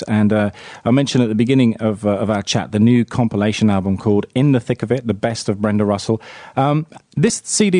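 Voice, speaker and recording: Quick-talking radio host. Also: male voice, mid-range voice, warm tone, dry recording, clean studio sound. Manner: quick, chatty, hesitant fillers. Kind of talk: radio interview.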